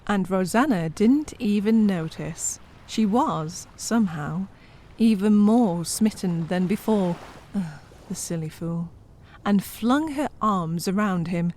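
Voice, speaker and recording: A woman's voice: medium pitch, lively intonation, slow, a little mellow. Wry woman narrator, slight background noise. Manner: wry, exasperated asides to listeners. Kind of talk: scripted comedic horror storytelling.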